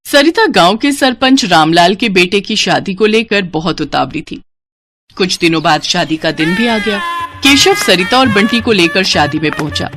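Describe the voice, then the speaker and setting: A woman's voice: medium pitch, animated, moderate pace, fairly bright tone, faint music behind. Woman narrating a children's story. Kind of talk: scripted narration of children's moral stories.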